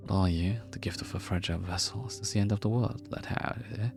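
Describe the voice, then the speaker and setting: A man's voice: on the low side, quick pace, vocal fry, over music. A man who is a native German speaker, reading game text and choices aloud on a livestream. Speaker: game streamer.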